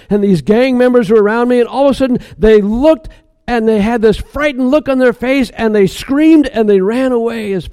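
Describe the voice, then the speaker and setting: A man voicing Mary, a troubled young mother who pleads in a rushed, halting way. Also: sits high, mellow, pitched above the performer's own voice. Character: troubled young mother, woman, guarded then meek.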